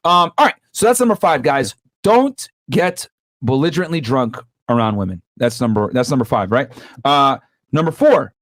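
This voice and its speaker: A man's voice: mid-range voice, expressive, normal speed, a bit bright, no echo. A man in his thirties on a podcast, giving advice straight to the viewers and calling them guys in a casual, lecturing way. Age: 30s. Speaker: podcast co-host.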